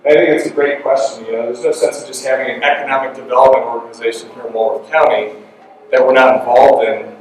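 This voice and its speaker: A man's voice: mid-range voice, steady tone, a little hiss or hum. A man speaking at a live event, rambling and restarting often as he introduces the next speaker. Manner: rambling, halting with many restarts and fillers. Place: large event room with an audience. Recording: echoey hall, mic from a distance.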